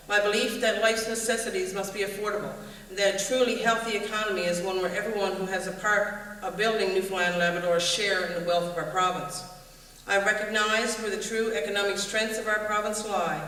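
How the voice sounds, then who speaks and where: A woman, an election candidate, reading a prepared debate statement in a formal, measured way. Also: mid-range voice, pushed and bright. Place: large events hall. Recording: echoey hall, voice far from mic.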